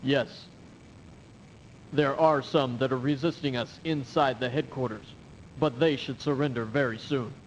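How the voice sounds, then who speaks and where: A man's voice: mid-range voice, fairly even intonation, unhurried, dull audio, lightly acted. Man playing a formal, loyal military aide. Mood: respectful. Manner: formal, reporting. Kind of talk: video game cutscene acting. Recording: faintly noisy 1990s game audio.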